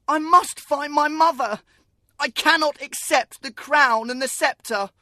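A man's voice: very high voice, measured pace, pushed and bright, no echo, studio quality, voice pushed up, heavily acted. A man voicing a sad, humble young boy in a storybook reading, earnest and pleading. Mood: distressed.

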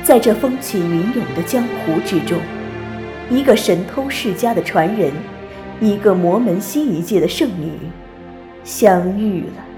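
A woman's voice: mid-range voice, lively intonation, unhurried. A woman narrating a scripted wuxia drama trailer in a storytelling style. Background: new-age background music.

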